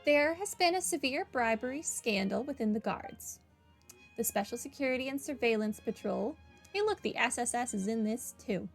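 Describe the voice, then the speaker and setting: A woman hosting a video game playthrough, chatting casually and reading game text aloud. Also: sits high. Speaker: gaming video host. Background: game music.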